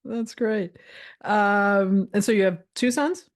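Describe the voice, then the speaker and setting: A woman's voice: mid-range voice, takes it slowly. A woman hosting a podcast, asking questions in a casual interview style. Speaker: podcast host. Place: podcast studio.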